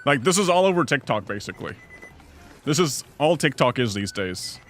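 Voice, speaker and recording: Young guy rambling on his stream, reading chat and answering, mid voice, soft music in the back. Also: mid-range voice, very animated, normal speed. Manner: rambling, chatty, reads chat aloud and answers. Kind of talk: casual live-stream chat.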